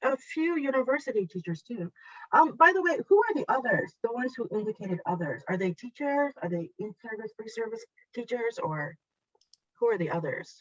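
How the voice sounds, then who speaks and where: A woman's voice: a little high, very animated, normal speed, no room sound. A woman whose first language is Japanese, presenting a teacher workshop online in a chatty, encouraging way and guiding the audience step by step. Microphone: video call. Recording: dull lo-fi video call audio.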